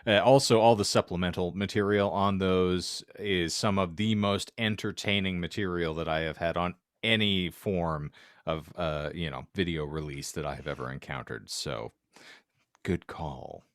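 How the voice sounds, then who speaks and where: Deep-voiced, wordy man, very dry sound. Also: normal speed, no echo. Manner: wordy, mock-formal phrasing. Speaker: podcast co-host. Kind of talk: casual chat.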